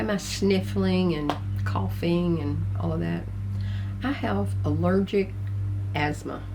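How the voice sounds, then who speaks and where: An older woman with a gruff, congested voice, chatting casually and rambling to her vlog viewers. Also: on the low side, low-quality recording. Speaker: vlogger. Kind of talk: casual chat to camera. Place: tiny house.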